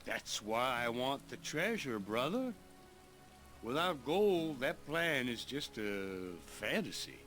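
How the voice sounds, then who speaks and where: A hot-headed outlaw brother for a Western game. He drawls slowly and menacingly, his brooding voice swinging widely in pitch, with music behind it. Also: big pitch swings, fairly bright tone. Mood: insistent. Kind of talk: cinematic Western game acting.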